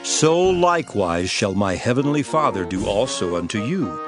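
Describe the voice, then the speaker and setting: Male grave scripture teacher, animated mid-range delivery, solemn emphatic oratory, music underneath. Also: fairly low pitch, moderate pace. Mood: solemn storytelling. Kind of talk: dramatized scripture reading.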